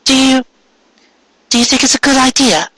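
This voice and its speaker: Man putting on a high, sweetly hesitant pony wife. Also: lively intonation, moderate pace, sharp tone, no room sound, exaggerated voice. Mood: hopeful, unsure. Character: tender, bashful married female cartoon pony. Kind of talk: fan fiction storybook reading.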